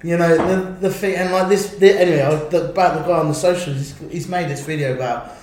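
A man's voice: on the high side, steady tone, fast, a little mellow. English man, echoey room. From England. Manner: rambling, restarts, laughs often. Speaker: podcast host. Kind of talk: casual chat. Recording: very echoey room, faint ambience.

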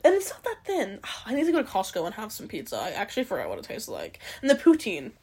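A woman's voice: mid-range voice, wildly swooping pitch, fast, darkish tone, slightly rough. A young woman from Canada hosting a podcast alone, rambling casually with many fillers and some swearing. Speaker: podcast host. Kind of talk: casual solo chat.

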